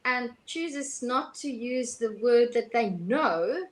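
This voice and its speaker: A woman's voice: on the high side, expressive, slow pace. Woman, thoughtful and hesitant. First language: Afrikaans. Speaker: host. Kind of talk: casual conversation.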